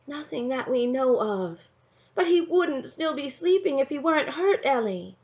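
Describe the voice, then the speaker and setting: A woman's voice: high voice, very animated, normal speed, darkish tone, muffled recording, voice pushed up. A woman voicing a weary, earnest mother in a storybook read-aloud, pleading and emphatic. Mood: worried, pleading. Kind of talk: storybook character dialogue.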